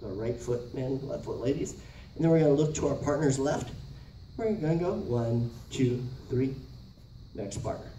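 A man's voice: mid-range voice, expressive, moderate pace, dark tone. A middle-aged man teaching a dance, giving short instructions and calling out counted steps. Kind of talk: dance lesson demonstration with step counting. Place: large carpeted indoor multipurpose room. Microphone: camera across the room. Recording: roomy, distant camera mic, faint noise.

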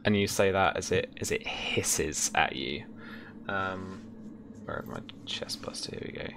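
A man in his thirties narrating an improvised tabletop horror game as game master, describing things in halting phrases. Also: deep voice, gravelly, background noise.

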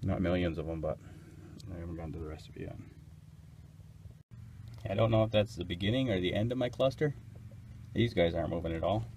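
A man's voice: deep voice, a little fast, long pauses, darkish tone, slight background noise. A man casually narrating as he inspects his beehives outdoors, hesitant and unsure, thinking aloud. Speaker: beekeeper.

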